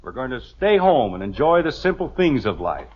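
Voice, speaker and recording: Exasperated blustering American dad. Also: male voice, medium pitch, sing-song, moderate pace, breathy edge. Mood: stern, sarcastic. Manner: blustering, sarcastic. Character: exasperated, thrifty middle-aged father and husband. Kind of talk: 1950s radio sitcom acting. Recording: dull vintage radio recording.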